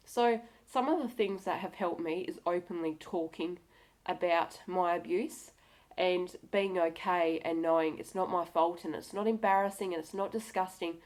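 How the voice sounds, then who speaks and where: Woman vlogging, bit stuffed up with a cold, lowish voice, open and direct, really encouraging. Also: darkish tone. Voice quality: slightly nasal from a cold. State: has a cold. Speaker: video blogger. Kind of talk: candid personal talk to camera.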